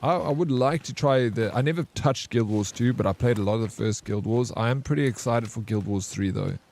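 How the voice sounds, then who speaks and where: A young man casually commenting on his gameplay as a streamer, with a flat, monotone delivery. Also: mid-range voice, fairly quick, slight background noise.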